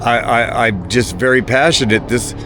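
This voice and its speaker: A man talking casually about his hobby in a rambling, hesitant way, halting and repeating words as he goes. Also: mid-range voice, over music. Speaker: hobbyist video presenter.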